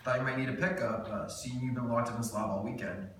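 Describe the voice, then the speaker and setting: An actor reading a smug, teasing young grad student at a screenplay table read. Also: male voice, medium pitch, fairly even intonation, fast, fairly bright tone, recorded from a distance, close to an everyday voice. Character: cocky young male grad student James. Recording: echoey room, mic from afar, faint noise.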